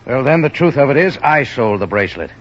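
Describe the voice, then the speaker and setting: A man's voice: mid-range voice, expressive, brisk, lightly acted. An actor playing a blunt, loyal cab driver in his forties in a 1950s radio drama, defiant and angry. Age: 40s. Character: gruff, loyal red-haired cabbie over forty. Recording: muffled old radio recording.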